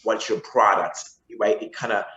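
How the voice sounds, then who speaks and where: Man from zimbabwe, very low voice, rambling restarts, roomy echo. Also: steady tone, normal speed, sharp tone, muffled recording. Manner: rambling with frequent restarts and fillers. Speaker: podcast guest. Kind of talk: casual interview conversation.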